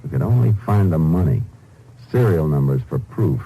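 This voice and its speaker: An American actor playing a shrewd, determined, laconic Texas Ranger in a 1950s radio drama, clipped and matter-of-fact. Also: male voice, rumbling low, animated, moderate pace, mellow, husky, heavily acted. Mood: grim. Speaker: lead character and narrator. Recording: noisy old 1950s radio recording.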